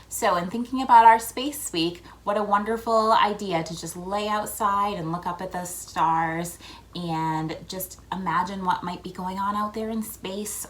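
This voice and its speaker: A woman reading a picture book aloud to children, with expressive emphasis and chatty asides addressed to the listeners. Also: mid-range voice, slight background noise, poor audio. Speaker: read-aloud host for children.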